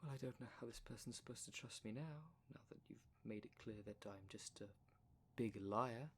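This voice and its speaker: A man's voice: medium pitch, moderate pace, a little hiss or hum, close to an everyday voice. A man playing a prim, defensive dream demon in a formal, fussy, easily exasperated manner for an ASMR roleplay drama. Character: prim, defensive dream demon, one half of split creature.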